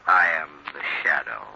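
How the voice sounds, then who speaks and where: Ominous radio villain: very low, hard-edged, slow and taunting, on a dull, noisy old recording. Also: male voice, creaky, bright, forceful tone, full character voice. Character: menacing male impostor posing as a mysterious avenger. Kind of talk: 1940s radio mystery acting.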